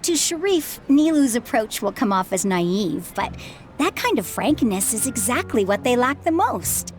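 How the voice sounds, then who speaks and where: An actress playing a calm, insightful, encouraging woman in a game dub. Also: fairly high pitch, unhurried, faint music behind. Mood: calm, hopeful. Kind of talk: English game dub acting.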